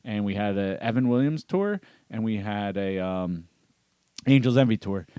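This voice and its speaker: A man, a returning guest on a podcast, telling rambling, excited stories in casual chat on a close mic. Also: fairly low pitch, moderate pace, clear, resonant tone, no room sound.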